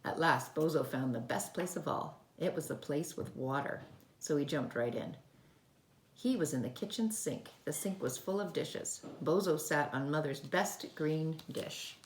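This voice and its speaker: A woman's voice: deep voice, measured pace. A woman teacher talking and reading a story to young children in simple, child-directed language, recorded indoors at home. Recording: roomy home recording with faint noise.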